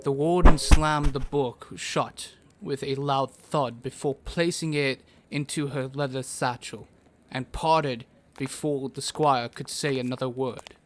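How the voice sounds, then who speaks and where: Male narrator, medium pitch, scripted fantasy storytelling. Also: fairly even intonation, measured pace, a little hiss or hum.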